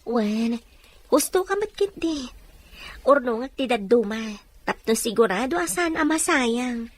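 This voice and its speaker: A woman's voice: on the high side, animated, measured pace. A woman playing an earnest wife in an Ilocano audio drama. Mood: agreeing, troubled. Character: Amanda, earnest wife who takes in a baby. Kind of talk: Ilocano radio-style drama acting.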